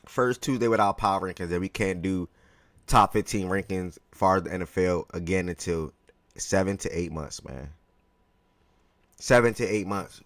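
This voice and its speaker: A man hosting a live sports talk stream, chatty and relaxed, greeting viewers by name, his voice a little hoarse. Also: low-pitched, normal speed, no echo. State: slightly hoarse from yelling the day before. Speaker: live show host.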